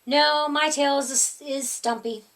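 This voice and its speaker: A big scruffy storybook boy monster, acted out by a woman in a high voice, a little far from the mic. He slurps, stammers and drags his words, trying hard to sound scary but coming out whiny and bumbling. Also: steady tone, slow pace, a bit bright, heavily acted. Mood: bumbling menace.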